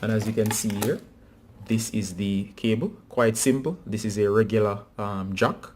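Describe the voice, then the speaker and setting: A man's voice: on the low side, darkish tone, slight background noise. A man presenting a casual hands-on how-to tutorial, talking directly to viewers.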